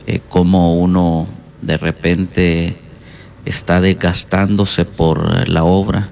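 A middle-aged man with a Honduran Spanish accent, answering interview questions as a pastor in a halting, reflective way. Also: sits low, level tone, creaky, a little mellow, slight background noise. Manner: halting, reflective, hesitant pauses. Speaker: guest pastor and counselor.

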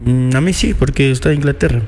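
A man co-hosting a football talk show, explaining his points haltingly with many restarts. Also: medium pitch, darkish tone, big-room sound. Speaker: sports talk co-host. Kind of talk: casual football debate.

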